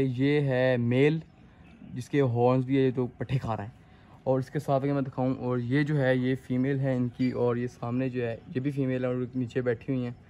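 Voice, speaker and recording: A man's voice: medium pitch, warm tone, highs cut off. Young Pakistani man giving a casual tour. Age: young adult. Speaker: farm owner and vlogger. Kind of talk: casual vlog tour, explaining to viewers. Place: outdoors on an open farm. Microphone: handheld phone at arm's length.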